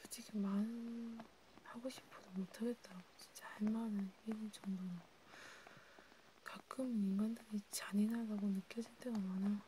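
Casting: woman for whispered ASMR role play, soft dark timbre, breathy, close and conversational, with faint noise behind. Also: mid-range voice, low-quality recording. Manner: intimate, halting, informal one-sided conversation. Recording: steady background noise with clicks.

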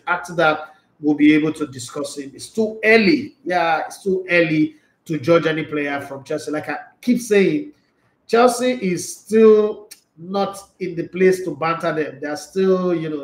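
Animated man, echoey room. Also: on the high side. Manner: animated, rambling, gestures a lot. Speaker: sports show host answering viewer comments. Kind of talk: casual football commentary chat. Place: small indoor studio room.

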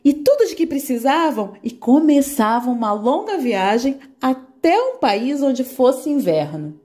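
A woman narrating a children's fairy tale in an expressive, animated way. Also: high-pitched, a little slow. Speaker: storyteller.

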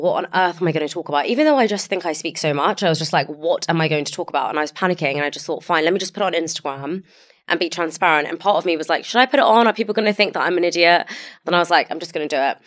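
A woman's voice: sits low, a bit bright. A young woman hosting a podcast in a studio, chatting candidly and self-deprecatingly into a stand mic, her throat a little sore. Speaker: podcast host. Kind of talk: casual interview chat. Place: podcast studio with purple lighting, indoors.

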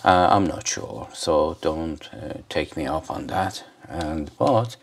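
Male gadget reviewer, deep pitch, unhurried and rambling, casual hands-on demo. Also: very animated, gravelly. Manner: rambling, hesitant. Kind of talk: casual unscripted product demonstration.

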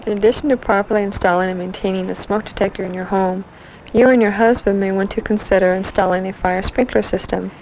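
Quick-talking woman, fire safety adviser. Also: medium pitch, steady tone, a little mellow. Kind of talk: rehearsed advice to visitors. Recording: noisy old film soundtrack.

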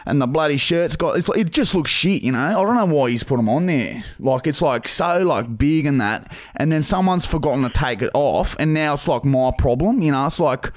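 A man's voice: fairly high pitch, lively intonation, normal speed, hardly any pauses, close to an everyday voice. A man in his thirties with an Australian accent playing an aggrieved, dim-witted bogan customer on a prank call, rambling and repeating himself in slang. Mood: wheedling, aggrieved. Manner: repetitive, rambling, slangy, sweary. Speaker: podcast host making a prank call. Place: podcast studio. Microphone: close boom-arm podcast microphone.

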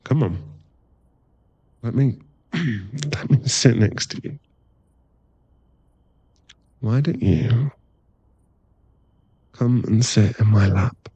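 A man playing an affectionate, teasing werewolf boyfriend in an intimate ASMR roleplay, speaking in a soft, coaxing, halting way with a husky, gravelly voice. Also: medium pitch, no echo, muffled recording, full character voice. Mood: coaxing, bossy. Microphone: close microphone.

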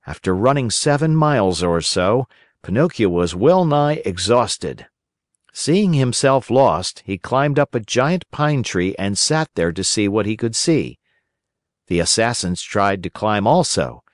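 A man narrating a children's story as an audiobook reader, in a gentle, expressive way. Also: lowish voice, unhurried, no room sound, studio quality.

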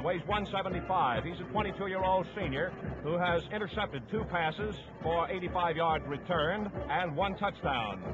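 Man, high voice, vintage sports radio announcer, formal measured delivery, dull lo-fi broadcast, music behind. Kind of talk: 1950s radio football commentary, reading starting lineups.

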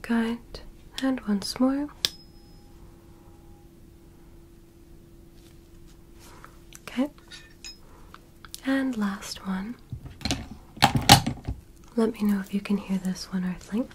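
A polite alien doctor acted by a woman whispers in a soft, breathy, medium-pitched voice. She takes her time, examining her earthling patient with gentle, clinical curiosity in an ASMR roleplay. Noticeable noise and clicking sit behind the whisper. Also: fairly even intonation, takes it slowly, long pauses, close to an everyday voice. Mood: gentle, attentive. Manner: gentle, clinical, formally praising.

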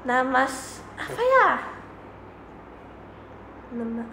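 A young woman on a casual livestream, her voice high and unhurried. She is playful and giggly and drops English phrases into her chat. The small room leaves a roomy echo and a faint hiss behind her. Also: big pitch swings, bright, forceful tone. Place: small indoor room, seated at a desk. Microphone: phone or webcam in front of her.

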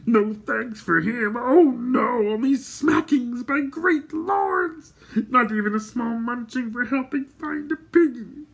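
A storybook creature, pitched far above a normal male voice. It whines and howls in childlike phrases, cringing and fawning. It sounds hungry and full of self-pity. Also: slow, creaky, fairly bright tone, breathy edge, exaggerated voice. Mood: wailing self-pity. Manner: whining, howling, rhyming childlike speech.

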